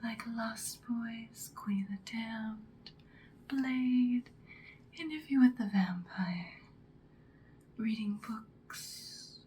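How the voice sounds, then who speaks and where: A woman playing a seductive vampire queen in an ASMR roleplay, whispering breathily in a soothing, predatory, deliberate way. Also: a little high, warm tone, slight background noise. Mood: intimate, coaxing. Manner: slow, deliberate, hypnotic soothing.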